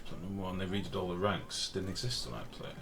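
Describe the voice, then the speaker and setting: Deep-voiced man streaming a game, noisy background. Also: little pitch movement, fast talker. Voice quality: deep, booming voice. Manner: informal, sweary, reads and answers chat. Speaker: game livestreamer. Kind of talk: casual live gaming commentary, chatting with viewers. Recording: clear background noise.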